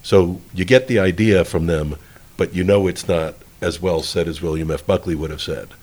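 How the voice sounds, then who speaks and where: Deep-voiced, folksy male interviewer. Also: fairly even intonation, normal speed, a little mellow. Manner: rambling, folksy, self-deprecating. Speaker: interview host. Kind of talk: casual conversational interviewing.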